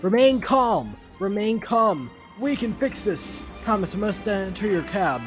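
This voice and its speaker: A man's voice: high-pitched, big pitch swings, a little slow, over music, voice pushed up. A man playing a solemn male duke in an amateur dramatic reading, declamatory as he addresses his subjects. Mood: urgent.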